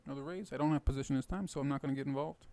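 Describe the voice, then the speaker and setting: A man with a tired, hoarse voice and some gravel in it talks through his poker hands. He explains his strategy casually, thinking aloud with plenty of fillers. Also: mid-range voice, fairly even intonation, rapid-fire, dark tone. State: hoarse, rough voice. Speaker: poker video host. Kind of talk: casual poker commentary over gameplay.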